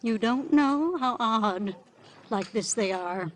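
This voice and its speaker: An actress playing a chatty, airily fussy tea shop hostess with arch, rambling politeness in classic American radio drama style. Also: female voice, fairly high pitch, expressive, takes it slowly. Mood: gossipy, airy. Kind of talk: 1940s-50s American radio drama acting. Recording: noisy old radio recording.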